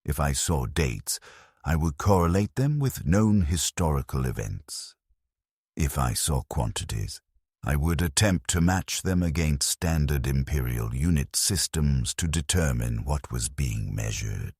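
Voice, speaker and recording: A man reading scripted first-person narration in a measured, somber and reflective manner. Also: rumbling low, expressive, darkish tone, husky, no echo, studio quality.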